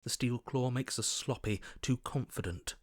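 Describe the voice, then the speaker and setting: A man's voice: medium pitch, normal speed, no echo, clean studio sound, natural voice. Man acting a terse, wary hunted survivor. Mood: wary, focused. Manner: terse, clipped, watchful phrases. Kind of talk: video game character voice acting.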